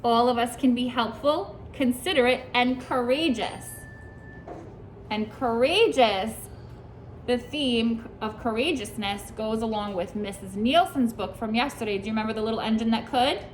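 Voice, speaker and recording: A higher-pitched woman reading a storybook to a class of young children in a classroom, with a faint hiss under her voice. She stops often to ask questions and answer the kids. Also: moderate pace, low-quality recording. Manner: asks the children questions and praises their answers. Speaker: preschool teacher. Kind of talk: reading a picture book aloud to a class.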